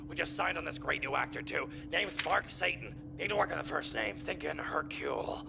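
A man's voice: on the high side, brisk, vocal fry, noisy background. A man voicing a brash, cheerful henchman turned producer in a parody anime dub, boastful and exuberant. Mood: breezy.

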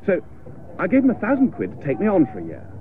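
An actor playing an earnest, lovelorn young upper-class English gentleman in a radio comedy, plaintive and politely understated. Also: sits high, huge pitch swings, quick pace. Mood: explanatory. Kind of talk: radio comedy drama acting. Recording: muffled, noisy radio play recording.

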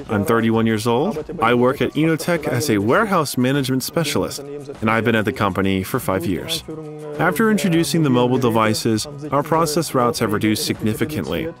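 A man reading scripted corporate testimonial narration in a measured, formal manner. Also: mid-range voice. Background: background music.

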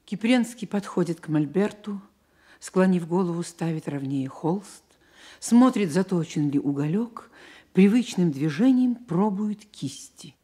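A woman narrating a radio drama in a measured, literary storytelling manner. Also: lowish voice, lively intonation, warm tone, slightly rough.

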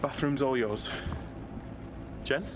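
A man's voice: mid-range voice, takes it slowly, close to an everyday voice. A young man voicing an easygoing, teasing male student. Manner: breezy, teasing. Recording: game scene with ambient hum.

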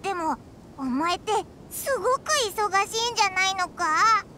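A woman voicing a small, excitable, cheeky childlike fairy in a Japanese anime game, with blunt boyish speech. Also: extremely high pitch, normal speed, a little hiss or hum, heavily acted. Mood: amazed, curious.

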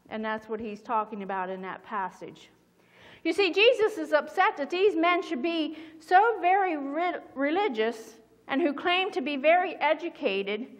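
A middle-aged woman pastor giving a sermon from a church pulpit, speaking in a measured, earnest way. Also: sits high, a bit bright. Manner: measured, earnest reading aloud. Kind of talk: sermon with scripture reading. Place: church sanctuary behind a pulpit. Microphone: small mic at the pulpit. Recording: long church reverb.

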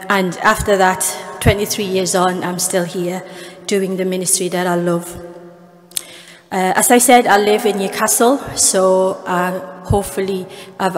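A woman in her forties with a Filipino accent, Tagalog her first language, giving an earnest guest speech at an award ceremony, speaking into a podium microphone with small restarts along the way. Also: mid-range voice. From Philippines. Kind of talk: prepared speech with personal stories. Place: large cathedral. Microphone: podium microphone, far camera. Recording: long cathedral reverb, faint music.

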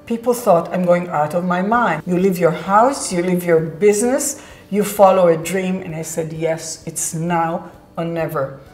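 A woman in her sixties with an Israeli accent, calmly recounting her own life journey in an earnest, measured way. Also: lowish voice. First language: Hebrew. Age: 60s. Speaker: presenter telling her life story. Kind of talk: reflective personal narration. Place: indoor studio set with curtain backdrop. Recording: roomy echo with faint background music.

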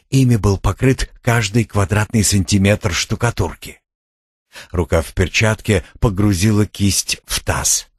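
Low, husky male audiobook narrator. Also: no echo, clean studio sound. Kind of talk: scripted narration of a suspense thriller.

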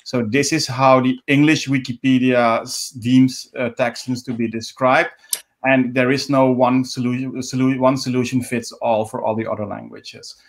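A middle-aged native Dutch man with a Dutch accent, giving an informal walkthrough on a video call in a halting, repetitive way. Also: mid-range voice, little pitch movement. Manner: halting, repeats words, restarts often. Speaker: live stream presenter. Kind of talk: informal screen-share walkthrough. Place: indoors at home, on a video call. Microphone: video call microphone.

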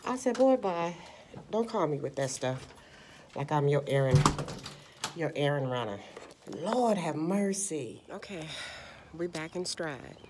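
A woman's voice: low-pitched, big pitch swings, a little slow, long pauses, noisy background. A woman in her forties talking casually to viewers from a parked car, recorded on a phone held at arm's length. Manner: chatty, loose and conversational, often calls listeners "girl". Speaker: vlogger. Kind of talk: casual chat. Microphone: phone camera at arm's length.

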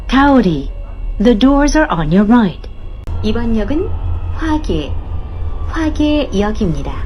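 A woman reading scripted station announcements as a train announcer, formal, measured and carefully enunciated. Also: fairly high pitch, mellow. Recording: uneven cheap-mic capture, music behind. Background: faint music, train ride.

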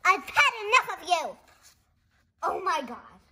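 A young girl hosting a read-along, reading a picture book aloud and reacting chattily in a giggly, excitable way. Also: extremely high pitch, unhurried, a little hiss or hum. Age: child. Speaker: host of a read-along video. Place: indoors at a dark wooden table. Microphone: camera pointed down at the book.

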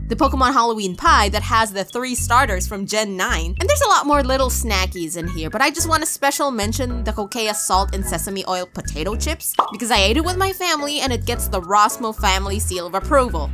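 A woman in her twenties with a Filipino accent, giving scripted video commentary with playful, casual asides. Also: a little high. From Philippines. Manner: playful, animated, casually joking. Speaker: video host and reviewer.

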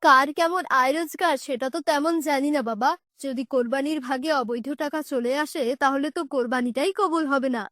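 A woman voicing a humble, devout, poor old village uncle in a children's cartoon, gentle and plain-spoken. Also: sits high, moderate pace, hardly any pauses, no echo, studio quality, voice pushed down. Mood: humble, earnest.

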